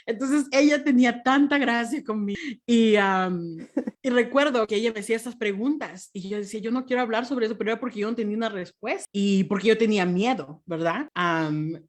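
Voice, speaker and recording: A woman's voice: on the high side, a little fast. Laughing woman from Peru. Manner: laughs often, many soft fillers. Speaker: podcast guest. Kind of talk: casual interview conversation.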